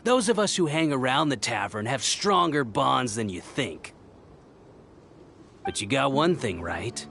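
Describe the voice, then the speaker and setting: An actor playing a sly, mocking, falsely friendly tavern schemer with a theatrical, taunting manner in a video game. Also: male voice, medium pitch, gravelly, a bit bright. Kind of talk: anime-style video game voice acting.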